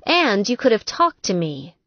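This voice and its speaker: A woman's voice: medium pitch, sing-song, normal speed, a bit bright, dry recording, dull audio, pitched above the performer's own voice. A woman voicing a young, wary romance heroine in an audiobook, pointed and teasing in manner. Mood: hurt, reproachful.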